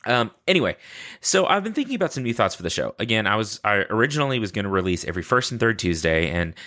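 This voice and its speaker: A man's voice: fairly low pitch, very animated, fast talker, sharp tone. A man hosting a podcast in casual, unscripted solo talk, chatty and rambling, with enthusiasm and self-deprecating asides. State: sleepy. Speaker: podcast host.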